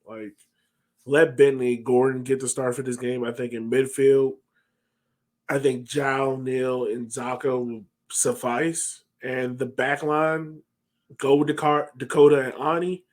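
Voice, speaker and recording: A man's voice: medium pitch, steady tone, a little slow, darkish tone. An American man, a podcast host, casually discussing sports on a video call. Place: home room, on a video call. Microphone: headset on a video call.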